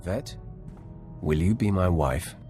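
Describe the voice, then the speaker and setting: Brief: ruthless starship dark lord, extremely deep, measured and terse, game dialogue over faint music. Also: male voice. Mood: tender, composed. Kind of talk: scripted video game dialogue.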